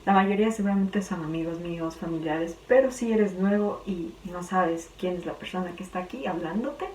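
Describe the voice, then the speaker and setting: A young woman from Ecuador with a Quito accent, talking chattily in a personal vlog and sometimes pausing to search for words. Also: fairly low pitch, a little mellow. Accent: Quito Ecuadorian Spanish. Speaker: vlogger. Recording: roomy, distant, faint background hum.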